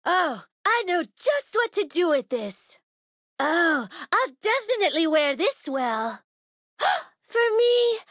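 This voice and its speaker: A woman voicing a bubbly, sweet, eager female monster racer in a video game, with an expressive, gushing delivery. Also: extremely high pitch, slow pace, no room sound, clean studio sound, exaggerated voice. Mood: delighted, gushing. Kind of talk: video game character voice clips.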